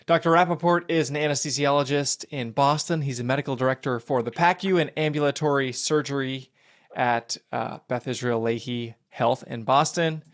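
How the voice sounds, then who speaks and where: A man's voice: medium pitch, normal speed. A man hosting a podcast interview, asking friendly, curious questions with some fillers. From Philadelphia area. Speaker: podcast host. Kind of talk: casual interview conversation.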